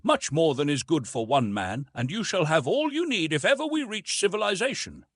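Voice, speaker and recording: A man voicing a patient, civilized gentleman friend in an adventure audiobook, courteous and gently reasoning. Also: on the high side, sing-song, normal speed, no room sound, clean studio sound, voice pushed up. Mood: friendly reasoning. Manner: courteous, explanatory.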